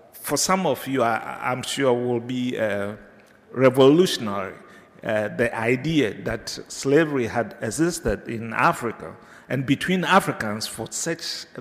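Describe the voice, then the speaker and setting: A man from Ghana with a Ghanaian accent, giving an unscripted academic lecture to teachers, hesitant with many filler pauses and digressions. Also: mid-range voice. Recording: reverberant lecture hall.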